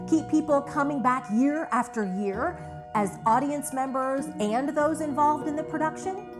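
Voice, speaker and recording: A woman's voice: high-pitched, a little slow, over music. A woman reading scripted narration as the narrator of a documentary.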